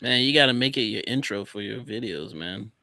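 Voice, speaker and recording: A man with a bright, tense voice that swings widely in pitch teases a fellow trucker on a call. His voice is dry, with no room sound around it, and he laughs often. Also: animated, a little fast, creaky, no room sound. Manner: teasing, laughing. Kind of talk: casual group chat on a call.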